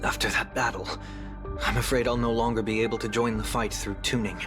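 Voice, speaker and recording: An actor voicing a calm, earnest young man in a game cutscene. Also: on the low side, fairly quick, lightly acted. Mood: serious. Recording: game cutscene over music.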